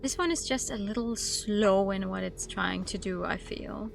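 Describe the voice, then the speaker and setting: A woman's voice: mid-range voice, unhurried, creaky. A woman livestreaming a game, commenting casually and reading bits of text aloud as she chats with viewers. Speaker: streamer. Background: soft background music and game audio.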